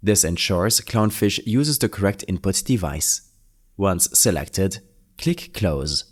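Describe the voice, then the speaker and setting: Low-pitched man narrating a software tutorial. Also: animated, slow, breathy edge. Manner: instructional, orderly, plainly worded. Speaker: tutorial host.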